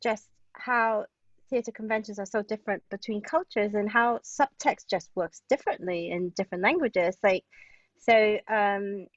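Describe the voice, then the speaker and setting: A woman's voice: mid-range voice, normal speed, no room sound, dull audio. A woman from Hong Kong speaking casually as an interview guest, chatty and tentative in her phrasing. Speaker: playwright and translator, interview guest.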